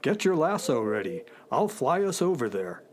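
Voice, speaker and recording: An actor playing a proud, deadpan, slightly huffy talking space horse in a children's radio play. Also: medium pitch, moderate pace, noisy background, close to an everyday voice. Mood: earnest.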